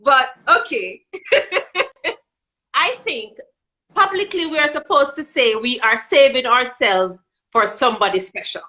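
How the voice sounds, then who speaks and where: A woman's voice: lively intonation, unhurried, pauses often, sharp tone, low-quality recording. A woman with a Jamaican accent on a phone video call, talking frankly about dating and sex in casual chat. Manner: frank and outspoken, rising in pitch when making a point. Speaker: guest on a live video chat. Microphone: phone held in hand.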